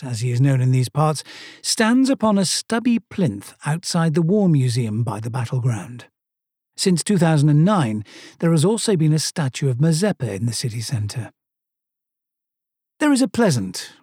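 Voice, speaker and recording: A man's voice: fairly high pitch, warm tone, breathy edge, dry recording. A man from Sweden narrating a first-person travel story with dry, self-mocking humor.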